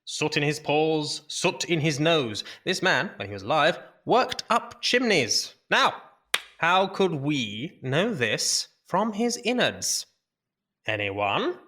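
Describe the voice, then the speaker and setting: An actor playing a brisk, dismissive Victorian doctor lecturing at a dissection in an audio drama, with emphatic pauses. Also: male voice, on the high side, big pitch swings, sharp tone, exaggerated voice. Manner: theatrical, didactic, emphatic pauses.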